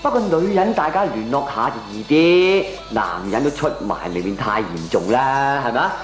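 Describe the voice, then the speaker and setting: Actor as animated, placating Hong Kong man. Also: mid-range voice, roomy sound, faint music behind, lightly acted. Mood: placating, reasoning. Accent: Hong Kong Cantonese. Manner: placating, lecturing, drawn-out colloquial delivery. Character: mild, reasoning man who dodges confrontation. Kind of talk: Cantonese radio comedy drama acting.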